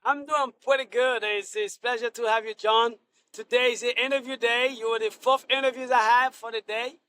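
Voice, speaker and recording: A middle-aged man from Haiti, a Senate candidate answering interview questions in a halting way, full of restarts and fillers. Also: very high voice, fairly even intonation, sharp tone, smooth.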